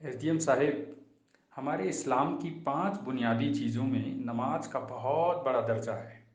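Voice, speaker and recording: A man's voice: medium pitch, moderate pace, natural voice. Man acting out a respectful earnest cleric, echoey.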